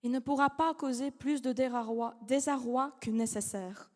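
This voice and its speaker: A young woman delivers a formal eloquence speech in a large academic hall, her fairly high voice carrying with a long reverb. She speaks in measured, dramatic phrases with rhetorical pauses, in French that is not her first language. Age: young adult. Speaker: law student giving a competition speech.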